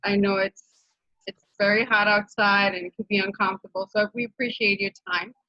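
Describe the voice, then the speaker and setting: A woman's voice: medium pitch, steady tone, a little fast, no room sound. A woman in her thirties from Honduras, speaking with a Honduran Spanish accent, earnestly presenting her nonprofit's work on a video call with many fillers and restarts. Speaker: program director of a nonprofit. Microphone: video call microphone. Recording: dull, dry video-call audio.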